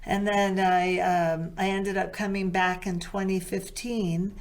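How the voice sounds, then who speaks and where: Middle-aged woman, low soulful voice, rambling interview guest, mid-pitched. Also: flat delivery, a little slow. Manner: rambling, restarts and backtracks. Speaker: singer guest. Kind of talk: casual interview chat.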